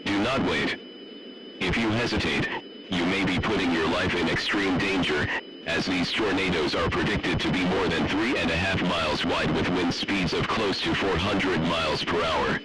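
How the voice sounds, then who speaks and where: A man's voice: low-pitched, steady tone, moderate pace, natural voice. A man playing a stern emergency broadcast announcer, formal and commanding, reading a scripted warning in a staged alert. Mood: urgent. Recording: very noisy emergency radio broadcast.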